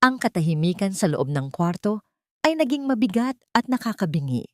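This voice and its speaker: A woman's voice: mid-range voice, big pitch swings, normal speed, warm tone, dry recording, clean studio sound. A woman narrating a scripted audio drama in a solemn, literary way.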